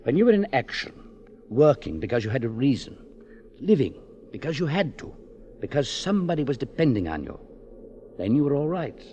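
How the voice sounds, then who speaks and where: An actor playing a thoughtful physician in a 1940s radio drama, measured, probing and persuasive, on a muffled old broadcast. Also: male voice, medium pitch, big pitch swings, warm tone, slight background noise, close to an everyday voice. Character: thoughtful, probing male physician and husband, formerly of London.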